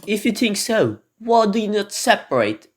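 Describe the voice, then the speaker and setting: A scheming, unhappy noblewoman, acted by a man, pitched up high. She speaks in a coaxing, defensive way, in the style of an amateur radio play. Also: very animated, moderate pace. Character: scheming, unhappy wife of a lord. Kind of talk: amateur radio drama acting.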